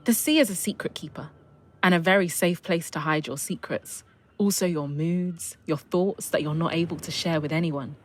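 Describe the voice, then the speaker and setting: A woman's voice: fairly low pitch, normal speed. A middle-aged woman with a southern English accent, sharing personal memories in a thoughtful, hesitant, reflective way. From south coast of England. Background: music.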